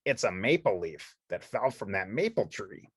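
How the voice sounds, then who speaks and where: Woman doing a husky, bookish puppet fellow. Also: very deep voice, sing-song, normal speed, no echo, studio quality, exaggerated voice. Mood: matter-of-fact. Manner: matter-of-fact, instructive. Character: sensible, bookish male puppet friend who explains things. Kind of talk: children's storybook read-along character voice.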